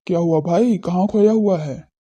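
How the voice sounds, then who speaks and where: A woman's voice: lowish voice, normal speed, mellow, breathy edge, voice pushed down. A woman voicing brooding, wry young men of a family in an audio drama, muttering casually. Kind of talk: audio drama character dialogue.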